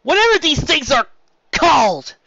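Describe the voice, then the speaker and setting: An exasperated unicorn mare, acted by a man, raised high and pushed loud. She exclaims and whines excitedly, swinging widely in pitch, as a storybook character. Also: normal speed, no echo, lo-fi sound, exaggerated voice.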